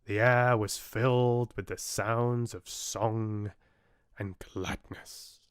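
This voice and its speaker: A man giving a measured, dramatic, expressive narration of a fairy tale audiobook. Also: lowish voice, clean tone, no echo, studio quality.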